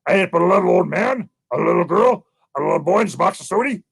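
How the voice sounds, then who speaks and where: Man as big hairy bear, blustering, hungry, loud deliberate threats, animated children's tale. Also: high voice, gravelly, slightly rough, heavily acted. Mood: menacing. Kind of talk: children's storytelling.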